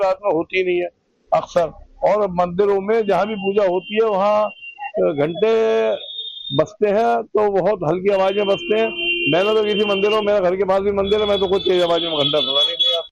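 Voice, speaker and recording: A man's voice: high voice, steady tone, normal speed, dry recording. A man giving a spontaneous opinion in a news interview. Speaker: street interviewee. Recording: dull lo-fi field recording.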